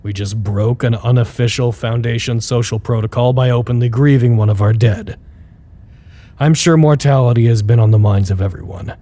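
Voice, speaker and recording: An actor playing a brooding, wary facility staffer recording his observations in an audio drama, measured and ominous with dry wit. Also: mid-range voice, dark tone, close to an everyday voice. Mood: wary, pensive. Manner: measured, ominous, dryly wry.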